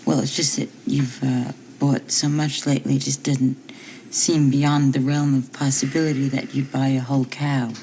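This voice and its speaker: An actress playing a wife who speaks softly and hesitantly in bed. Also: female voice, rumbling low, little pitch movement, moderate pace, a little hiss or hum, poor audio. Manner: hesitant, soft-spoken.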